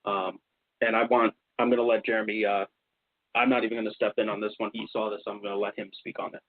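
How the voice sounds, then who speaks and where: Husky-voiced man hosting a talk show. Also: fairly low pitch, little pitch movement, quick pace, no room sound. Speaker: radio show host. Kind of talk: casual storytelling about investigations. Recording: slightly dull, lo-fi radio sound.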